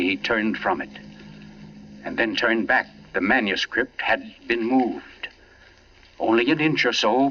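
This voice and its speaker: An actor playing a grave novelist who narrates a suspense tale in a measured, dramatic 1940s radio style, on a vintage recording. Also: male voice, mid-range voice, pushed and bright, recorded from a distance, highs cut off. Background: dramatic score music.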